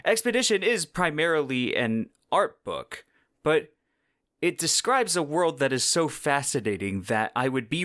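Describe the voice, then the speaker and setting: A man's voice: mid-range voice, a bit bright, no echo. Man hosting in a dry, close recording. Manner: enthusiastic, informal. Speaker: podcast host. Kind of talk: casual solo podcast commentary.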